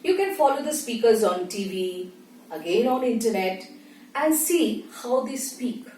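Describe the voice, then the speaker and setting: A woman's voice: fairly high pitch, lively intonation, unhurried, darkish tone, a little hiss or hum. A woman with an Indian accent teaching in an emphatic, instructive manner, often posing questions and answering them herself. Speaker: voice and speech teacher. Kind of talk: spoken tutorial with acted examples. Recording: echoey room, distant microphone.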